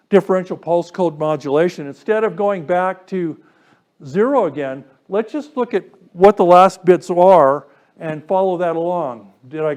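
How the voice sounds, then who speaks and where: High-pitched man giving a technical lecture. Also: a little mellow. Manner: explanatory, poses questions to listeners. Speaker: presenter giving a technical talk to a radio club.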